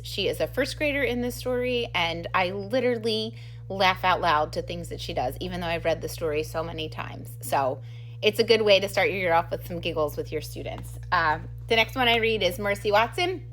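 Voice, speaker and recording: A woman's voice: medium pitch, expressive, moderate pace, fairly bright tone, a little hiss or hum. A middle-aged woman, an enthusiastic teacher, giving a casual, giggly book talk to camera. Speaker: second grade teacher presenting book recommendations.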